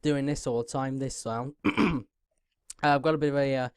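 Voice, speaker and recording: A young man with a sore throat and a croaky voice, hosting his own channel and chatting casually to viewers. He keeps clearing his throat and fills pauses with ums. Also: mid-range voice, fairly even intonation, dry recording, studio quality. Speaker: YouTube racing channel host.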